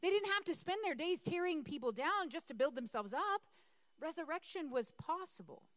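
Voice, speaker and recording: Hoarse woman pastor preaching. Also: high-pitched, lively intonation, moderate pace, a bit bright, no echo. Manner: conversational, asks congregation for responses. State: losing her voice. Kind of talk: sermon.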